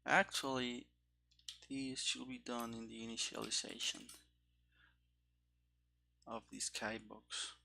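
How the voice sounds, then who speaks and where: A man's voice: medium pitch, little pitch movement, takes it slowly, vocal fry, pauses often, bright, forceful tone. A man muttering and thinking aloud in fragments as he gives casual commentary on live programming work. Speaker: programmer streaming game development.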